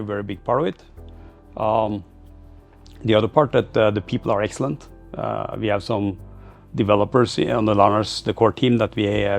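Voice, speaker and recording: A man's voice: lowish voice, gravelly. A man giving informal interview answers as a startup founder, in a hesitant, loosely phrased, rambling way. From Norway.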